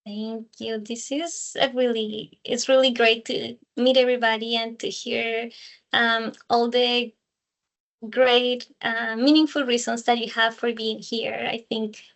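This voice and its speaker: A woman's voice: on the high side, little pitch movement, unhurried. A woman facilitating an online council meeting over a video call, thanking and introducing members with many fillers.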